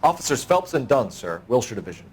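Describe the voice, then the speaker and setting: An actor with an American accent playing a stern, driven police officer in a video game, speaking in a clipped, formal way. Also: male voice, medium pitch, very animated, quick pace, slight background noise, natural voice. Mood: businesslike. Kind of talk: dramatic video game acting.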